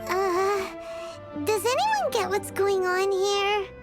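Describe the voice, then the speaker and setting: A tiny fairy sidekick in a fantasy game, childlike and nosy. The voice is extremely high and squeaky, unhurried and bouncy in pitch, over faint music. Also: female voice, animated, heavily acted. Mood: confused. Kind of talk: video game voice acting. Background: faint background music.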